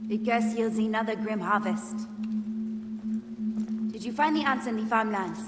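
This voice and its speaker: An actress playing a stern, devout high priestess in a video game, solemn and imperious, in a reverberant hall. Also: female voice, normal speed, noisy background, lightly acted. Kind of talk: dramatic video game cutscene acting. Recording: echoey, reverberant temple-like hall.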